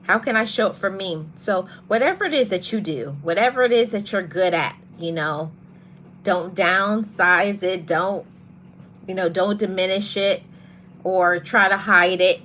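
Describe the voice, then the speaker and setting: A woman hosting a motivational podcast, talking to her audience in a soft voice and repeating her key phrases for emphasis. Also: mid-range voice, a little slow, slight background noise. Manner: greets live viewers mid-talk. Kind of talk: live-streamed motivational talk.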